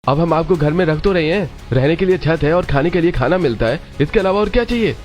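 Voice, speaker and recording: A man's voice: a little high, animated, fast, darkish tone, faint music behind, close to an everyday voice. A man voicing a greedy, demanding grown son in a cartoon family drama, brusque and insistent. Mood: angry, demanding. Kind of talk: Hindi cartoon moral-story voice acting.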